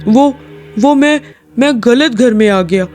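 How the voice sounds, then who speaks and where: An actor playing a poor, earnest woodcutter in a cartoon story, with music behind. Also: male voice, very high-pitched, slow pace, darkish tone. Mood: nervous, insistent.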